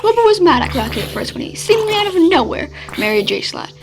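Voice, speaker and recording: A woman's voice: extremely high pitch, big pitch swings, fast talker, background noise. A woman reading fan fiction aloud as a podfic narrator. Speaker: podfic reader.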